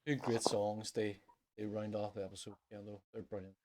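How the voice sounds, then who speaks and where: A man with a Northern Irish accent chatting casually as a music podcast host, in a halting way that circles back to stress his points. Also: lowish voice, level tone, a little mellow. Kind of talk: casual chat.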